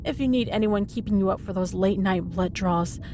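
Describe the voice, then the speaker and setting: A woman's voice: medium pitch, normal speed, noisy background, close to an everyday voice. A woman playing a cheeky, smug female villain in a comedic audio roleplay, with breezy, sarcastic teasing. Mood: sassy banter. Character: cheeky, smug woman supervillain, frequent hospital patient.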